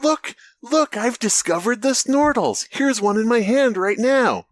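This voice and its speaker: A young boy bursting with glee, as a man puts him on in a high, squeaky voice. He squeals about a little creature cupped in his hands. The voice sits far above the man's own. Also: expressive, unhurried, slight background noise. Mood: gleeful.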